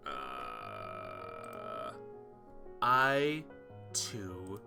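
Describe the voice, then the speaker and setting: A man reads visual novel text aloud as he plays through the game. His voice is bright and forward, with faint music behind it. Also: rumbling low, huge pitch swings, very slow, gravelly. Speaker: playthrough narrator.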